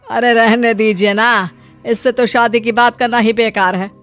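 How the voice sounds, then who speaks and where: A woman's voice: fairly high pitch, fairly even intonation, a little slow, a bit bright, soft background music. A woman voicing a nagging cartoon mother. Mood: scolding. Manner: taunting, scolding. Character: nagging older mother, sharp-tongued and worried. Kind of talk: cartoon voice acting.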